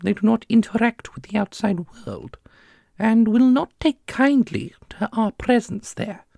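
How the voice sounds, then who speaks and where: A woman's voice: a little high, very animated, measured pace, creaky, warm tone, no room sound, studio quality, full character voice. A woman playing a composed, knowing sage in a fantasy audio roleplay, with formal and theatrical delivery. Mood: knowing, grave.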